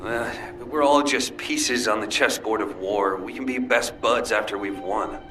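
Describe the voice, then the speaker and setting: A man's voice: fairly low pitch, a little slow, sharp tone. A man playing a cool, pragmatic, aloof military engineer in a video game, with a dry, curt delivery. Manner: dry, curt, matter-of-fact. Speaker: drone designer. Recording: distant voice under clear music.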